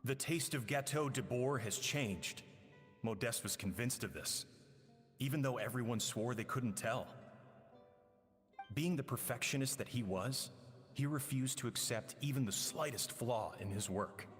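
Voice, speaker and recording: An actor playing a regretful, grieving man in a video game, narrating in a solemn, wistful, measured way. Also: mid-range voice, lightly acted. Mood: solemn grief. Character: regretful man, a pastry chef grieving his estranged friend. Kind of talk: dramatic game monologue, letter narration. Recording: long reverb with music underneath.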